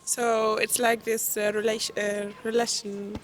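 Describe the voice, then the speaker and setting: A woman speaks slowly and hesitantly outdoors, with a narrow melody and many pauses. Faint ambient noise sits behind her. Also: a little high, level tone. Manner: hesitant, searching for words. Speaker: interviewee. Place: outdoors on a city street.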